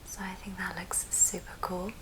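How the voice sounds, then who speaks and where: A woman's voice: lowish voice, little pitch movement, moderate pace. A woman whispering throughout as she gives an ASMR craft tutorial. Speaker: ASMR craft video host. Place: at a friend's house, indoors. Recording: noticeable background noise and clicks.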